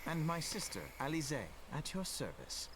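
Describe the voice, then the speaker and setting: A young noble scholar from a fantasy game talks with courteous assurance. His lightly high voice moves expressively through formal, eloquent phrases, with faint background ambience. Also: huge pitch swings, normal speed, fairly bright tone, a little hiss or hum, heavily acted, rough recording. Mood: courteous, composed. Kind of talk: scripted video game dialogue.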